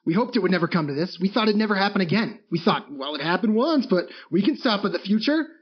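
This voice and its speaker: High-pitched, dramatic male radio announcer, acted. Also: lively intonation, fast, muffled recording. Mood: grave, rueful. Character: grave male war-time radio announcer, increasingly defiant. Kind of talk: dramatic radio news broadcast acting. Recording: band-limited radio sound with clear noise.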